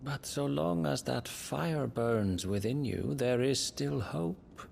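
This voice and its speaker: An actor playing a cool, manipulative schemer in a fantasy game, with a measured, condescending, goading delivery. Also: male voice, medium pitch, darkish tone. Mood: calm, coaxing. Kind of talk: dramatic fantasy game acting. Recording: clear background noise under the voice.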